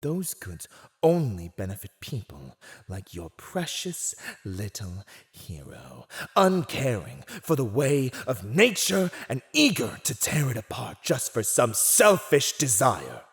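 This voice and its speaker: A man's voice: mid-range voice, huge pitch swings, takes it slowly, vocal fry, full character voice. A man playing a silver-tongued villain in an ASMR roleplay, condescending, theatrical and seductively persuasive as he teases a captive. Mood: scornful, disdainful. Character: silver-tongued, teasing male villain gloating over a captive. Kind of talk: scripted ASMR roleplay acting.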